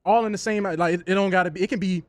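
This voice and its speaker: Higher-voiced laid-back radio man. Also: racing, no echo. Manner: relaxed, colloquial, lots of agreeing "yeah". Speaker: sports radio co-host. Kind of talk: casual sports talk chat.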